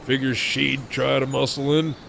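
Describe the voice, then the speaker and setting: A narrator doing a gravelly, menacing dad. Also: medium pitch, little pitch movement, normal speed, fairly bright tone, slight background noise, pitched below the performer's own voice. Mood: hostile. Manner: hostile, demanding. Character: suspicious, bullying middle-aged father.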